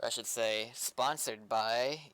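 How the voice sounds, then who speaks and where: A man's voice: medium pitch, slow, fairly bright tone, no room sound. A man with an American accent chatting casually and loosely, in a hesitant, rambling way, like a developer streaming while he works. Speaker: game developer live-streaming.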